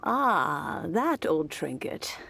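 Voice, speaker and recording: An actress playing a gentle, wistful old granny in a video game, with an aged, creaky voice and a measured, grandmotherly manner. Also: low-pitched, big pitch swings, full character voice. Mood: reminiscing, gentle. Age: older. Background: background music.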